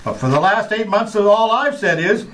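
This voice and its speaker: A man's voice: high-pitched, expressive, moderate pace, a bit bright, low-quality recording. A man playing a grandiose, dramatic announcer in the style of old-time radio. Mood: grand, dramatic.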